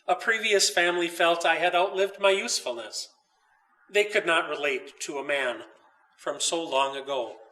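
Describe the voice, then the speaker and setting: A man plays a wise bishop saint living in a painted icon. He speaks in solemn, teacherly declarations, with a bright, forceful, raised voice, slightly off the microphone. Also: a little high, steady tone, normal speed, recorded from a distance, rough recording. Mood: matter-of-fact. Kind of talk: storybook character voice.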